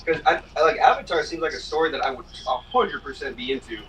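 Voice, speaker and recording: A man rambles playfully through a casual chat among gamers. His voice is bright and a little high, and it comes from well back from the mic over a faint hiss. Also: expressive, fast talker, far from the mic, low-quality recording. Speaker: player.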